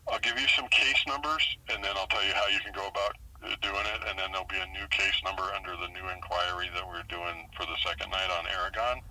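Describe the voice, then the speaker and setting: A man speaking as a police sergeant on a phone call, procedural and halting, repeating and checking details. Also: low voice, fairly even intonation, sharp tone. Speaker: police sergeant handling a complaint. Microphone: recorded phone call. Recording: noisy telephone line.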